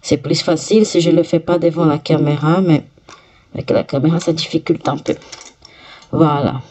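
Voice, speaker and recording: A woman with a cold, her voice stuffy, slowly talking viewers through each step of fitting a part on a sewing machine. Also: low-pitched, fairly even intonation, darkish tone. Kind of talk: step-by-step workshop demonstration.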